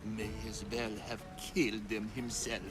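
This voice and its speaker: An actor playing a menacing, ominous zealot hunter from a secret ancient order, in a dramatic video game cutscene. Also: male voice, on the low side, level tone, over music, lightly acted. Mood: wounded, bitter. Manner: slow, deliberate, ominous.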